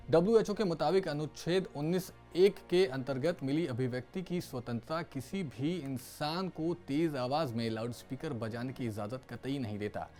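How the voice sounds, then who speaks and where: A man's voice: mid-range voice, dark tone. A man presenting scripted news narration as a news presenter. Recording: music bed behind voice. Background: background music.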